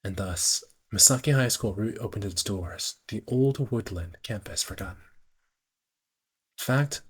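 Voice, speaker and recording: A man live streaming, reading visual novel narration aloud in a halting way, often stumbling and repeating phrases. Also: fairly low pitch, breathy edge.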